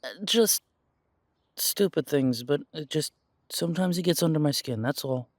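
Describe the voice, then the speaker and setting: A man's voice: on the high side, moderate pace, dry recording, studio quality, lightly acted. A man playing an earnest, naive young werewolf in an audio roleplay, hesitant, defensive and eager to please. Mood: upset, evasive. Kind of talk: audio roleplay drama acting.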